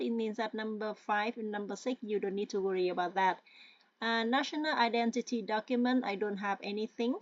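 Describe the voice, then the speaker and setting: A woman talks through a tutorial with patient, hesitant pacing and frequent check-ins with her listener. Her voice sits fairly high and sounds dry and close, with no room around it. The recording itself is dull and a little lo-fi. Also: no room sound. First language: Vietnamese. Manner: hesitant, with many fillers. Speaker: tutorial presenter. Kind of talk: step-by-step screen-share walkthrough.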